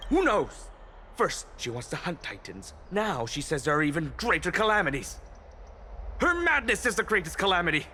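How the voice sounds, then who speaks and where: A bitter delegate in a fantasy game. His voice is high and forceful, swinging animatedly with scorn. Faint noise sits behind it. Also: sing-song, moderate pace, exaggerated voice. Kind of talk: scripted game dialogue.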